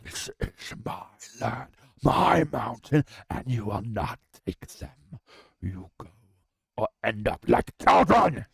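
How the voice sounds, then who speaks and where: A man gives voice to a hulking ogre chieftain, proud and defiant. His proclamations are slow and booming, with a gravelly edge. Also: big pitch swings, dry recording, exaggerated voice.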